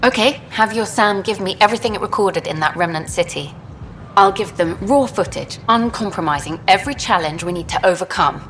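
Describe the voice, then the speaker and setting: An actress playing an earnest, bold documentary reporter in video game dialogue. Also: female voice, mid-range voice, brisk, fairly bright tone, lightly acted. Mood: determined, warm. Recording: faint background noise.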